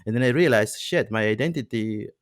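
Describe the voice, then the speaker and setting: A man's voice: fairly low pitch, fairly quick, dry recording, clean studio sound. A man in his thirties from Peru, a native Spanish speaker, hosting a podcast in casual, reflective talk full of fillers and restarts. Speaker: podcast host. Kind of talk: casual conversation.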